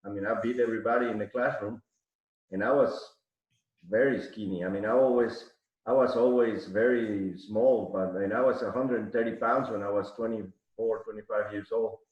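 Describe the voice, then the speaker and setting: A man's voice: lowish voice, fairly even intonation, normal speed. A middle-aged man from Mexico City, a podcast guest telling rambling stories full of restarts and fillers. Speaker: podcast guest, arm wrestler. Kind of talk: casual interview storytelling. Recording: echoey, muffled audio.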